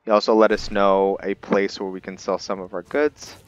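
A man's voice: sits low, level tone, normal speed, clear, resonant tone, muffled recording. A man hosting a gaming roleplay video, narrating his playthrough in a casual commentary style.

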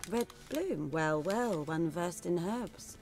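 A slow-spoken, knowing herbalist. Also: female voice, on the low side, animated, a little mellow. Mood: composed, wry. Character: unhurried, knowing female village herbalist. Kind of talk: video game dialogue.

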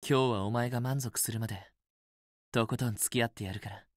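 A man's voice: mid-range voice, steady tone, brisk, dry recording, clean studio sound, natural voice. A man playing a possessive, teasing young student boyfriend in a romance game, with an intimate, assertive, coaxing delivery. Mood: caring, casual. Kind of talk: Japanese otome game voice acting, intimate romantic dialogue.

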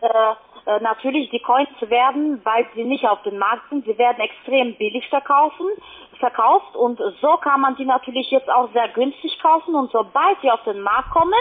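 High-pitched woman on a tinny phone line. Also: normal speed. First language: not German. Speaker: telemarketing call centre agent. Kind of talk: unscripted sales phone call.

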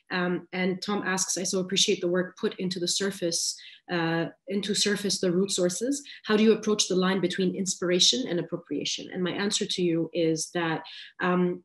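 Low-pitched thirties woman on a video call, soft, keeps restarting. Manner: halting, many restarts, soft. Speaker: guest speaker. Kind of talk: casual interview answers. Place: small room indoors.

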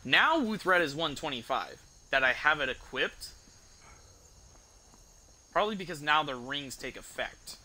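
A young man giving casual gameplay commentary in a rambling, halting way, streaming from an indoor room. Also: fairly high pitch, sing-song, bright, forceful tone, slight background noise. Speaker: game streamer. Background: game music.

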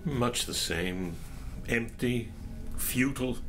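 A man's voice: medium pitch, takes it slowly, a bit bright, soft background music. An actor playing a weary, bitter, ailing king in a dramatic play, brooding and self-justifying. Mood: weary, futile.

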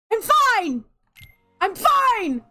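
A woman streamer with a light twang, ranting in exasperated gameplay commentary with loud outbursts and laughter. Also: very high voice.

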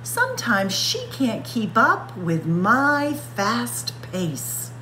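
A woman reading a picture book aloud for children at story time, expressive and stretching words to act them out. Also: on the high side, drawn-out words, a little hiss or hum, low-quality recording. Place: indoors, library room with bookshelves.

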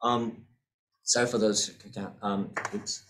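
A man's voice: fairly low pitch, level tone, slow pace, background noise. A man in his thirties with an American accent, presenting his fund at an online seminar, speaking through a laptop mic with many fillers and repeated words. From born in the UK, raised in US and Hong Kong. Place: indoor room, webcam video call.

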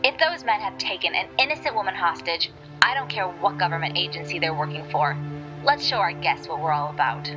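A woman's voice: fairly low pitch, fairly quick, over music, natural voice, rough recording. A woman playing a skeptical, principled member of an amateur sci-fi crew in an audio drama. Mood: determined.

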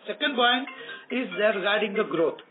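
High-pitched Indian man on a phone line. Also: expressive, normal speed, soft background music. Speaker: bank executive answering an analyst. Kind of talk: earnings call answer. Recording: phone-like conference line with clear noise.

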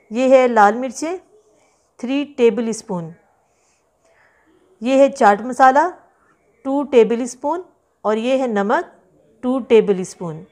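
A woman's voice: fairly high pitch, darkish tone. Chatty woman teaching a home recipe. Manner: chatty, instructive, lists ingredients slowly with repeats. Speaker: cooking channel host. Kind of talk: home cooking tutorial voice-over. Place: home kitchen.

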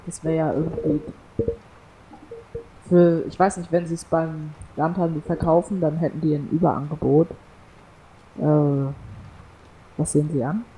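A man's voice: on the high side, flat delivery, unhurried, long pauses, dark tone, husky, pitched above the performer's own voice, exaggerated voice, low-quality recording. A man voicing a nosy male farmer who calls with polite, prying questions in a farming game roleplay.

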